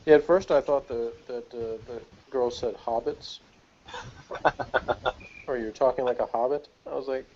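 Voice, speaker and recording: Male panelist, mid-pitched, casual and digressive, amused delivery, lo-fi video call sound. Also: normal speed, pauses often, dark tone. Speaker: panelist in a TV series review. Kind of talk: casual review chat. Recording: dull lo-fi video call audio.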